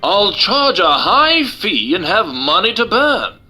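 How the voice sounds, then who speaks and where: A man's voice: sits high, very animated, slow, pushed and bright, pitched above the performer's own voice, heavily acted. A man voicing a sly, greedy, grandiose circus owner in a storybook reading over music. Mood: scheming. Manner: grandiose, proclaiming. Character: male Philadelphia circus owner, sly, greedy, loud schemer. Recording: music under the voice.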